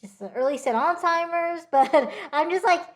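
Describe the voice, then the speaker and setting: A woman co-hosting a podcast in casual chat, quick to laugh and agree with short replies. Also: extremely high pitch. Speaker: podcast co-host.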